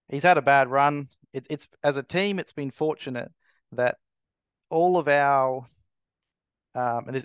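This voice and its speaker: A man in his thirties gives interview answers with many pauses and restarts. His voice is smooth and even, with little rise or fall. The sound is dry and a bit dull. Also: mid-range voice, dry recording. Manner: hesitant, many restarts and fillers. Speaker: racing team owner.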